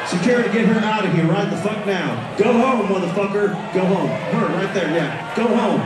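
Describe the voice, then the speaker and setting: A man, a country singer with a slightly raspy voice, doing crude, brash stage banter and shouting at the crowd from a concert stage. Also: high voice, normal speed, over loud music. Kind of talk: profane stage banter between songs. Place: large concert hall, on stage. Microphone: stage vocal mic, recorded from the crowd on a phone. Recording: distant, echoey phone recording of a loud concert. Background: loud band and cheering crowd.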